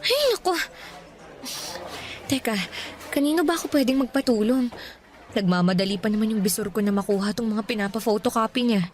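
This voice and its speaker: A woman's voice: a little high, brisk, pauses often, darkish tone, a little hiss or hum, close to an everyday voice. An actress playing a shy, sweet young woman with a hesitant manner in a Filipino radio drama. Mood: flustered. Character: shy, admiring young woman, new office employee.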